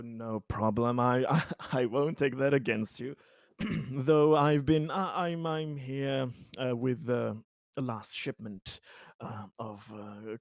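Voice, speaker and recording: A man acting out a chatty, eager colonist in a tabletop roleplay. He speaks slowly and haltingly, stammering through polite, slightly boastful small talk, very close and dry. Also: mid-range voice, dry recording.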